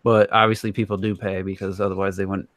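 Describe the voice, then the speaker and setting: A man with a fairly low voice hosts a relaxed, informal podcast discussion about games. The recording is very dry, with almost no room sound. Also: quick pace, no room sound. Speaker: podcast host. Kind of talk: casual gaming podcast discussion.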